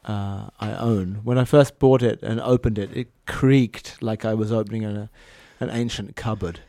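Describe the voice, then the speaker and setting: A man's voice: on the low side, fairly even intonation, normal speed, dark tone, no echo. A man hosting a radio music show, chatting casually between songs with dry jokes and many fillers. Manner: dry joking asides, hesitant fillers. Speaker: radio host.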